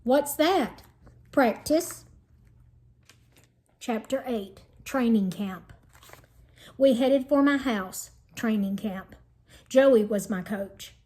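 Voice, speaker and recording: A woman's voice: on the high side, slow, mellow. A woman teacher reading a children's storybook aloud in an explanatory manner meant for young listeners.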